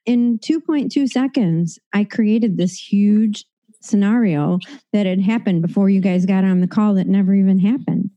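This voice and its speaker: A woman's voice: mid-range voice, moderate pace, warm tone, lo-fi sound. A woman co-hosting a casual podcast chat, telling a story with lots of laughter and filler words. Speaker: podcast co-host.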